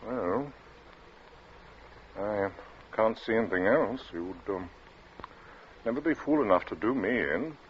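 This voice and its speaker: Slow, halting, flustered gentleman. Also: lowish voice, expressive. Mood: cautious. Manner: halting, mumbling, stammering hesitancy. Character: timid, flustered gentleman cornered by a killer. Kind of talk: old-time radio thriller acting. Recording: muffled, noisy vintage radio recording.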